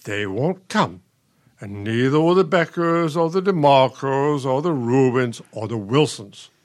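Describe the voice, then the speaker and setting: Dry, expressive elderly husband played by an actor. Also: male voice, mid-range voice, unhurried, close to an everyday voice. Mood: weary, reproachful. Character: elderly retired professor husband, patient, resigned, dryly weary. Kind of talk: radio play acting.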